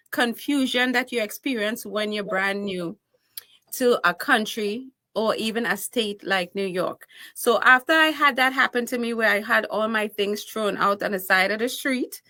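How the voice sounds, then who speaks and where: Female podcast guest, Trinidadian accent, bright forceful voice on the high side, emphatic informal storyteller. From Trinidad and Tobago. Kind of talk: personal storytelling in an interview.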